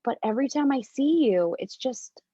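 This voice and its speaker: A woman acting a flustered, wounded woman with a cold in an amateur read-through of a romantic comedy, halting and hesitant. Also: high voice, animated, warm tone, slightly rough. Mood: awkward, regretful. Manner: halting, deliberate, with hesitant restarts. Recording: dull, roomy home recording.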